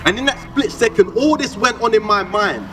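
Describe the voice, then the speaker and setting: A man performing spoken word poetry in a rhythmic, expressive, conversational delivery. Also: high-pitched, fairly quick, slight background noise. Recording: echoey, distant, loud music behind.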